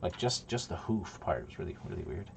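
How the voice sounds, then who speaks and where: A man's voice: deep voice, sing-song, quick pace, gravelly, noisy background. A man in his thirties, originally from Poland, chatting casually and rambling with many ums while streaming game development from a home desk. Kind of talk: casual livestream chat.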